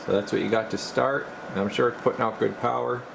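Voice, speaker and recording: A man working as a radio repair technician, giving casual, halting bench commentary as he mutters and thinks aloud. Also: mid-range voice, fairly even intonation, gravelly, very noisy. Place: indoor radio repair workbench.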